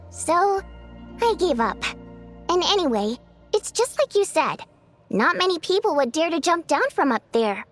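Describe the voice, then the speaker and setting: A woman's voice: extremely high pitch, very animated, a little slow, a bit bright, heavily acted. An actress playing a playful, coy female water nymph in a video game, with a sassy, theatrical delivery. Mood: breezy, resigned. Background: game music.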